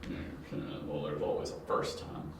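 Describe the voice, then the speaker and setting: A man's voice: a little slow, natural voice. A man playing a skeptical, matter-of-fact police officer in an amateur audio drama. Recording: very echoey, distant, faint noise.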